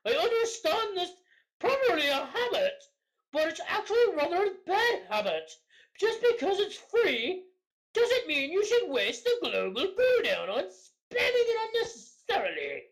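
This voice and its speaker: A woman's voice: very high voice, very animated, unhurried, low-quality recording. A woman co-host reading gamer forum posts aloud for comedy, casual and prone to giggling.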